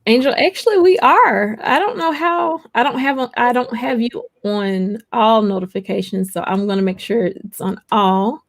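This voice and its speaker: A woman's voice: a little high, moderate pace, vocal fry, hardly any pauses. A woman hosting a live stream, chatting casually with her guest, thanking him and reading out viewer comments. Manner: warmly thankful, reads viewer comments aloud.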